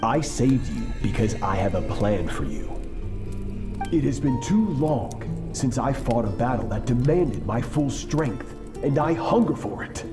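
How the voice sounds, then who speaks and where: An actor playing a cold, ruthless male warlord who trains his apprentice, speaking in a slow, grave and contemptuous way. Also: mid-range voice, very animated, reverberant, over music. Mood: menacing. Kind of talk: game cutscene voice acting.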